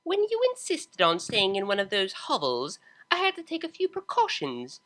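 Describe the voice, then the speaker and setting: Man doing a high, haughty, stern pony mother. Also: wildly swooping pitch, moderate pace, pushed and bright, full character voice. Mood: stern, disdainful. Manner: clipped, formal, condescending.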